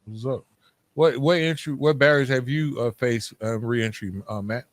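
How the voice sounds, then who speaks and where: A man's voice: medium pitch, moderate pace, dry recording. A man speaking as a podcast host in a casual, candid, colloquial way, reflecting on personal experience.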